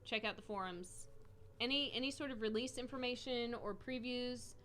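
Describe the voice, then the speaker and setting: A woman's voice: on the high side, moderate pace, a crowd in the background. A young adult woman hosting a casual game livestream, upbeat and laughing often as she chats. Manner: giggly, upbeat. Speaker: stream host. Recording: faint background piano music.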